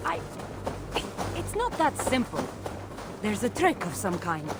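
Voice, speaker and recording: A seasoned warrior woman of the road, speaks with a Greek accent. She is wry, talkative and teasing, in the dramatic manner of a video game adventure. Music plays clearly behind her. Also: mid-range voice, big pitch swings, a little slow. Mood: earnest. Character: seasoned, wry wandering warrior woman guarding dangerous artifacts. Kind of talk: video game cutscene acting.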